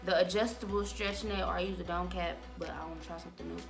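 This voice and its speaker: A woman's voice: lowish voice, steady tone, fast talker, over music. A young American woman chatting casually to camera as a vlogger, in a loose, slangy, rambling way. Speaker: vlogger giving a wig-making tutorial.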